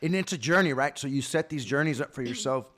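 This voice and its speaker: A man's voice: mid-range voice, quick pace, a bit bright. A man, hosting a casual podcast chat in a laid-back way, swearing freely and tagging his thoughts with "right?". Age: 30s. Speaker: podcast host.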